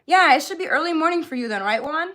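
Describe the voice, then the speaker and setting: A woman's voice: high voice, lively intonation, fast talker, bright, forceful tone. A woman hosting a live beauty tutorial in an informal, chatty way, speaking directly to her viewers. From born in Jamaica, grew up in Florida, US. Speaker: beauty livestream host.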